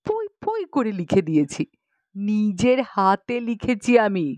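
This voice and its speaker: A woman's voice: medium pitch, huge pitch swings, measured pace, a little mellow, no room sound. A woman voicing an aggrieved, bossy young matron in an audiobook story, chatty and indignant, full of rhetorical questions. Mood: defiant, bossy. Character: aggrieved, bossy young matron airing grievances about in-laws.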